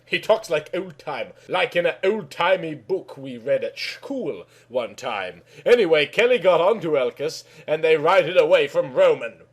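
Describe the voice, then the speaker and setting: A man with a very high voice narrates a storybook tale. The delivery is slow and naive, with childlike phrasing and mangled words. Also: lively intonation.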